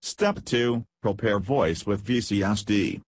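A man narrating a scripted software tutorial in a flat, read-aloud instructional way. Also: lowish voice, slow pace.

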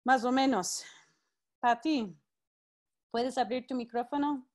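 High-voiced woman teaching on a video call. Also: slow pace. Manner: friendly, directive, calls participants by name. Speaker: teacher leading an online class. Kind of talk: live online class, guiding participants.

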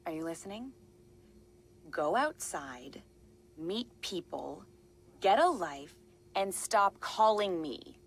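A woman's voice: lowish voice, animated, slow, pauses often, slightly rough, heavily acted. A woman playing a worried, stubborn younger sister and young mother in a naturalistic video game scene, plain-spoken and conversational. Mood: irritated, firm. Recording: music under the voice.

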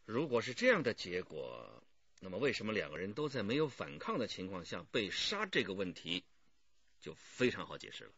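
An earnest, wry detective from a radio crime drama. His lowish voice is bright and forceful with a husky edge. He explains things deliberately and expressively. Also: huge pitch swings, no echo, dull audio, full character voice. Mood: reflective. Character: earnest, wry male police detective on the case.

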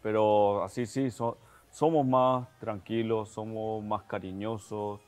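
A man's voice: fairly low pitch, fairly even intonation, slow, a little mellow, smooth. A man in his thirties from Chile with a Chilean accent, rambling relaxedly with many fillers as a guest on a studio talk show. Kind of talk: casual chat. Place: TV studio set.

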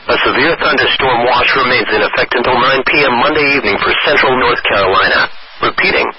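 A synthetic man's voice reading formal emergency weather bulletins over radio in a measured, impersonal way. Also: medium pitch. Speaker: automated weather radio announcer. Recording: noisy, dull weather radio broadcast.

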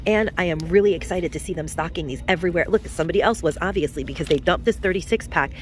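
A woman's voice: fairly low pitch, fast talker, slight background noise. Chatty woman touring store aisles. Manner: chatty, enthusiastic product commentary. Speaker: shopping vlog host. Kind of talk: casual shop-with-me chat to camera. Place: discount store aisles, indoors.

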